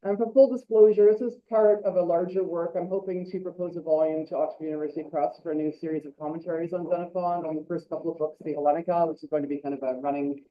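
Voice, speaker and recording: A woman at a conference, reading her academic paper aloud with quick self-correcting asides and a loud voice. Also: on the low side, fairly even intonation, lo-fi sound. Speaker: conference speaker. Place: lecture hall.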